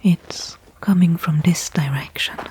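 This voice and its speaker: A woman playing a weary stranded astronaut in a whispered ASMR sci-fi roleplay, breathy and hushed, thinking aloud with pauses between thoughts. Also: fairly low pitch, natural voice, rough recording. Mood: cautiously hopeful. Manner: slow, soliloquizing, pausing between thoughts. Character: weary stranded female astronaut, anxious, faintly hopeful.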